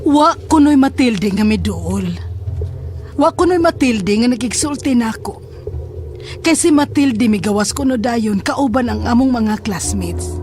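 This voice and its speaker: A woman's voice: on the high side, animated, a little slow, darkish tone. A woman playing a frightened schoolgirl who tells her own story in a horror audio drama. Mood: uneasy narrating. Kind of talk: horror audio drama, first-person narration. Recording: music under the voice.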